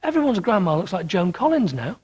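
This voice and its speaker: Animated, husky British male interviewee. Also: sits high, a little fast. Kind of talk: animated opinionated interview talk.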